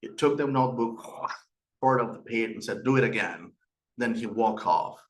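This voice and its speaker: A man's voice: mid-range voice, moderate pace, poor audio. A middle-aged man from Peru with a Peruvian Spanish accent, hosting a webinar and telling personal stories earnestly, with fillers and restarts. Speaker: webinar host and institute director. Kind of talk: webinar presentation.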